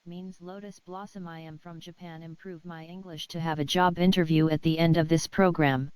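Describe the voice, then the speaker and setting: A woman voicing a polite, eager young Japanese student in an animated practice self-introduction. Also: lowish voice, normal speed, clean tone, no echo, close to an everyday voice. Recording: very noisy clip with clicks, distant.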